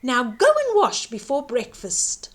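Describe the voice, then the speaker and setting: A woman voicing a huge motherly giantess, booming and fussing in a bossy way. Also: wildly swooping pitch, a little slow, a bit bright, full character voice. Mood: scolding.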